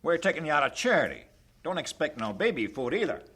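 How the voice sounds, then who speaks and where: An actor playing a blunt, impatient troop ship captain with an American accent, barking and scolding in a radio drama. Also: male voice, a little high, sing-song, fast talker, pushed and bright, breathy edge, full character voice. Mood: stern, irritated.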